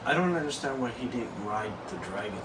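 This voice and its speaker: Lively young man, echoey room. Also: medium pitch. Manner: laid-back, laughing, slow dramatic narration. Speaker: co-host. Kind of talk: casual board game play and storytelling. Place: small room indoors. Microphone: far camera mic. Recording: echoey room, distant mic, background noise.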